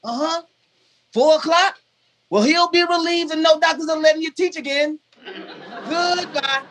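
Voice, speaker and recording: A man's voice: very high-pitched, moderate pace, fairly bright tone, slight background noise. A man playing a loyal but cheeky household valet in 1950s radio comedy style, with dry, sassy punchlines.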